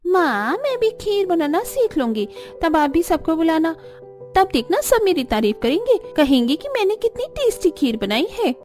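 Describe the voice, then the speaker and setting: A very high, girlish cartoon daughter-in-law, voiced by a woman. She is meek and eager, with a sweet pleading way of talking, over faint music. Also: sing-song, normal speed, darkish tone. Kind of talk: Hindi cartoon story voice acting.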